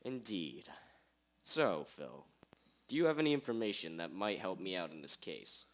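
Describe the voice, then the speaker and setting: A man's voice: animated, moderate pace. A young-sounding amateur actor, a man playing an impatient, self-important private detective with formal, theatrical delivery in a radio drama. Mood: businesslike. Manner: formal, theatrical interrogating, condescending to sidekick. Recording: low-quality amateur recording.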